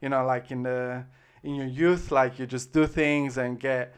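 A man's voice: medium pitch, steady tone, measured pace, smooth. A man in his thirties from Italy with an Italian accent, chatting as an interview guest in a rambling way, full of fillers and restarts. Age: 30s. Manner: rambling, heavy use of "like" and "um", frequent restarts. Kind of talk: casual interview chat. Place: Australia.

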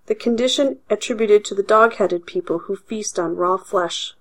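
A woman's voice: medium pitch, lightly acted. A woman voicing a solemn, self-important male doctor. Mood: grave. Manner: solemn, measured, pompous. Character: grave, haughty male doctor.

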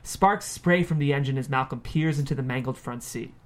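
A man's voice: mid-range voice, brisk. A man reading screenplay stage directions as scripted narration at a table read.

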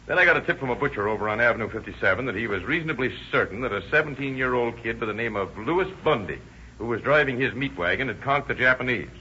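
A confident American police officer in a vintage radio crime play. His voice is bright and forceful at a middling pitch, authoritative and plain-spoken. The old recording is dull and hissy. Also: animated, fast. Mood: methodical, assured. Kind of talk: 1930s radio drama acting.

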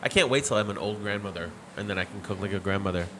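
A man's voice: on the low side, quick pace, fairly bright tone, slight background noise. A man co-hosting a casual food chat, joking and teasing the guest. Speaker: podcast co-host.

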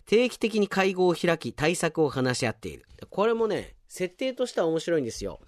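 A man's voice: a little high, no room sound, studio quality. A man hosting a radio show, chattily reading out listener submissions and commenting on them casually. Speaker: radio show host and announcer.

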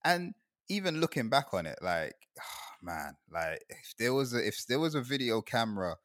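A man's voice: mid-range voice, big pitch swings, moderate pace, fairly bright tone, no echo, clean studio sound. A young man from Guyana in casual chat, rambling and laughing often as he talks.

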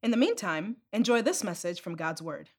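A woman reads a scripted podcast welcome with a lively, rising and falling delivery. Her tone is inviting, as in an announcement read to listeners. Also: medium pitch, normal speed.

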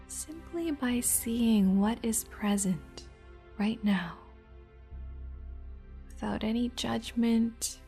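Woman, guided meditation, soft breathy near-whisper, mellow tone, slow measured phrases, background music. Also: mid-range voice. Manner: gentle, measured, with long pauses between short phrases.

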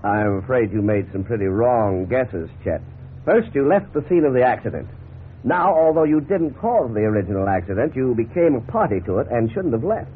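Faintly noisy, narrow-band 1950s radio sound frames a man acting as an authoritative attorney. He is measured and formal, explaining everything calmly in a mid-range voice. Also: medium pitch, close to an everyday voice. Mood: calm, firm. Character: calm, authoritative attorney advising his clients.

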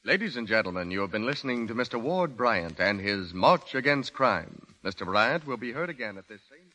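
Bright, formal radio station announcer. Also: male voice, medium pitch, big pitch swings, fairly quick. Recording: vintage 1930s radio recording.

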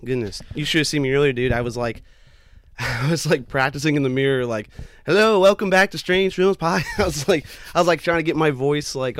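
A man hosting a casual podcast interview, chatty and friendly with a slightly raspy, tired voice. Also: medium pitch, no room sound, studio quality. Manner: friendly, chatty, laughs often. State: slightly raspy, losing his voice. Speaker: podcast host.

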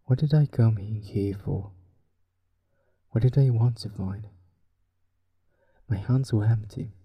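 A man who is a native Spanish speaker with a Spanish accent, speaking softly and breathily in a hushed, unhurried way, as a podcast host helping listeners fall asleep. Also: fairly low pitch, lo-fi sound. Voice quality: soft, breathy, husky. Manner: hushed, unhurried, drifting toward whisper. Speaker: sleep podcast host and story reader. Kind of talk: soft, relaxing bedtime talk and story reading.

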